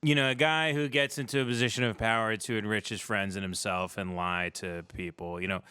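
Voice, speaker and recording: A man in casual comedic conversation, musing in a halting, wry way. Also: lowish voice, fairly even intonation, bright, forceful tone, clean tone, no echo, studio quality.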